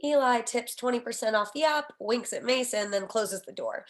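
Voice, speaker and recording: A woman with a fairly high voice reads screenplay stage directions. It is scripted narration for a table read, setting out scenes and action. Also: moderate pace.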